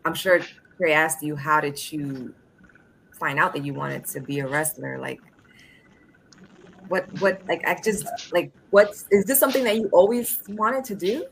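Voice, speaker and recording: Woman co-host; very low, gravelly voice; chatty, stumbling questions; faint background noise. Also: a little slow, low-quality recording. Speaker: interview co-host. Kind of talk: casual interview questions.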